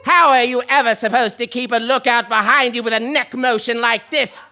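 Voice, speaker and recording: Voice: medium pitch, fairly even intonation, moderate pace, sharp tone. A performer playing a proud, snobbish, indignant royal tax collector in a live comic stage audio play, with a blustering, theatrical manner. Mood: indignant, menacing.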